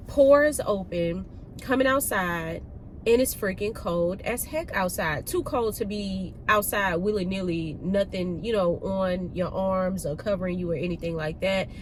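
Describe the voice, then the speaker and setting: A woman vlogger talks casually to her viewers in a chatty, slangy way. Her voice sits at a medium pitch and sounds nasal and stuffed up with a cold. There is noticeable noise around her, as inside a car. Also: unhurried. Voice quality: nasal, congested. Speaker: vlog host. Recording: noisy car ambience.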